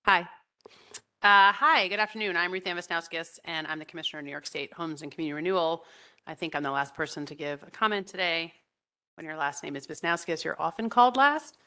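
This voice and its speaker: Middle-aged woman official reading her statement at a meeting, kinda low bright clear-cut voice, earnest. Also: fast talker. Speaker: state housing commissioner, council member. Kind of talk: prepared public statement at a meeting. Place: indoor meeting room, on a video conference feed.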